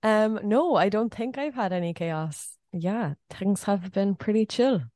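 A woman co-hosting a podcast with quick, giggly, chatty talk. Her mid-range voice sits a touch deeper than usual after a night out. She is recorded close on a podcast mic in a dry room. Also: animated, dark tone, no echo. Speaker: podcast co-host. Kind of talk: casual chat. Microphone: podcast microphone.

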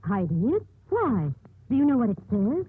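An actress playing an earnest, gently probing nun in a 1950s radio drama. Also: female voice, fairly high pitch, big pitch swings, normal speed, full character voice. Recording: muffled, husky-sounding 1950s radio recording.

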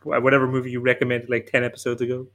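Mid-pitched man in casual podcast banter. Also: monotone, very fast, a bit bright. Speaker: podcast panelist.

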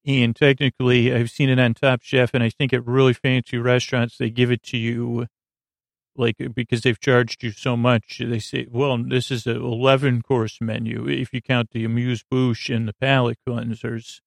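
A middle-aged man with a creaky, gravelly voice hosts a bedtime podcast, rambling haltingly and interrupting himself as he goes. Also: medium pitch, level tone, no room sound. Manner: meandering, halting, self-interrupting. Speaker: podcast host.

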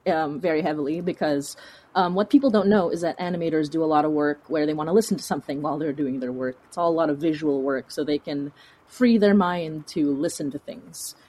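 A woman answers interview questions in casual conversation. Her voice is very low for a woman. She hesitates as she goes and doubles words for emphasis. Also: brisk, dark tone. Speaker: podcast guest, creator.

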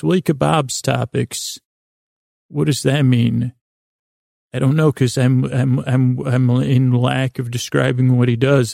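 Creaky-voiced man rambling softly through bedtime talk. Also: medium pitch, dry recording, clean studio sound. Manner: meandering, halting, stop-start, self-correcting. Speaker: host of a sleep podcast.

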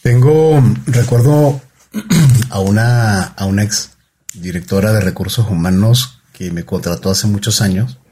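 A man hosting a corporate podcast, reading a measured, formal introduction before chatting with his guest. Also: fairly low pitch, mellow, a little hiss or hum. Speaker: podcast host.

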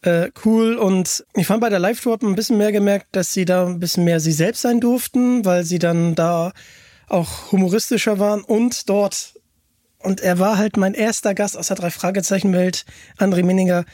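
A man hosting a podcast, chatting casually and enthusiastically, often halting and repeating words as he asks questions. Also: high-pitched, fairly even intonation, dark tone, dry recording, studio quality. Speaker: podcast host. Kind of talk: casual chat, interviewing.

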